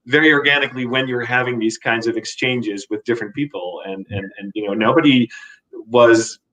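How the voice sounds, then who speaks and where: A man's voice: mid-range voice, steady tone, pushed and bright, poor audio. Reflective man on a video call panel. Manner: measured, reflective, with hesitations. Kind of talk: conversational panel storytelling.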